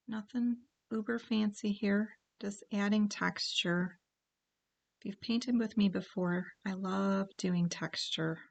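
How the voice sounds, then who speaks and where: A woman's voice: mid-range voice, creaky. Chatty woman teaching a painting class. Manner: chatty, thinks aloud while painting. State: keeps clearing her throat. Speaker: painting instructor. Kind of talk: relaxed paint-along tutorial.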